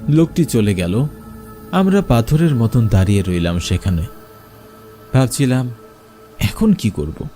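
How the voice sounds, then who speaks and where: Mellow-voiced man narrating horror. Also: mid-range voice, very animated, unhurried, long pauses. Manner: dramatic, suspenseful. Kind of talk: scripted first-person horror story narration. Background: faint music.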